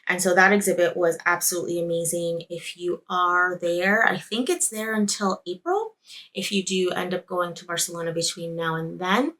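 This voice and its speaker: Bright-voiced chatty woman podcaster. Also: lowish voice, normal speed. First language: Spanish. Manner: chatty, rambling, with frequent laughs and self-corrections. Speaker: podcast host. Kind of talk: casual travel recap.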